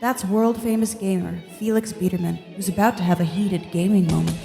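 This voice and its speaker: A woman playing a casual, wry, deadpan God narrating a comedic radio play. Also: mid-range voice, brisk, vocal fry, in a large hall, faint music behind, close to an everyday voice. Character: blasé, crude, wry God in heaven.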